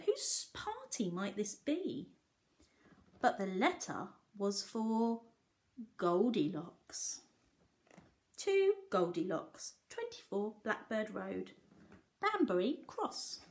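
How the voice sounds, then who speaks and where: A woman reading a picture book aloud to young children, expressive, pausing to explain and ask simple questions like a teacher. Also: a little high.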